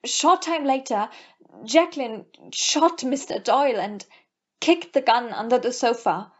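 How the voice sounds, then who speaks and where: A woman's voice: high voice, measured pace. A woman playing a nervous, defensive young lady in an audio play, hesitant when questioned, on a muffled recording. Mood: composed. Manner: hesitant, faltering under questioning.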